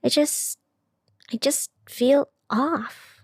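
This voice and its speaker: A woman's voice: sits high, very slow, darkish tone, exaggerated voice. A woman playing a wistful, self-doubting night radio host in a scripted audio drama, speaking in an earnest, confiding way. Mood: unsettled, wistful.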